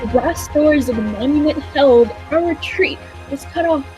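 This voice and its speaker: A woman's voice: sits high, moderate pace, darkish tone, soft background music, lightly acted, poor audio. A woman playing an earnest, thoughtful time traveller who narrates a radio play with dramatic storytelling. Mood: reflective, wistful.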